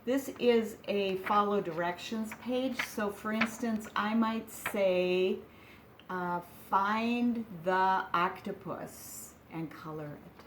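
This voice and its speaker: A woman teaching young children over a video call, talking in a slow, patient, sing-song way. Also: medium pitch, slight background noise. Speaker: preschool teacher.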